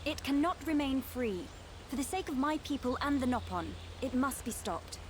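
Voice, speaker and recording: A proud young noblewoman bound by duty in a video game drama. She has a British accent and a fairly high voice with wide swings, formal and guarded. Faint ambience sits behind her. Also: lively intonation, moderate pace, a little hiss or hum. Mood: guarded, stern. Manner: haughty, formal, clipped.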